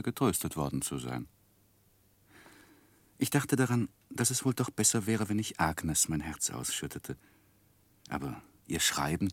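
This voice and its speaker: A man acting an earnest, lovestruck young gentleman who recalls his youth in formal, gently self-mocking in a 1960s radio drama. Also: low-pitched, no room sound. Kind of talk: literary first-person narration, 1960s German radio drama.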